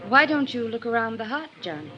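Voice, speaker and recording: A woman's voice: a little high, big pitch swings, a little fast, fairly bright tone, lo-fi sound, soft background music. An actress playing a curious, questioning female companion of a detective in a 1950s radio drama. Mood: uneasy, questioning.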